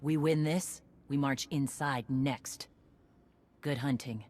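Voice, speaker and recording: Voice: mid-range voice, steady tone, slow, darkish tone, a little hiss or hum, natural voice. An actor playing a tough war leader who speaks bluntly and in a businesslike way. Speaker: commander.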